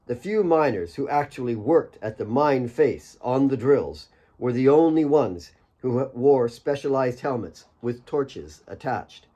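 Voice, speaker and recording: A man's voice: mid-range voice, dark tone. A man reading his own novel as an audiobook, in scripted dramatic narration.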